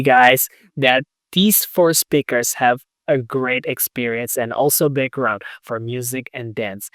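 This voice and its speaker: A man's voice: medium pitch, no room sound, studio quality. A man hosting a podcast interview, friendly and upbeat as he introduces guests and asks questions. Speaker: podcast host.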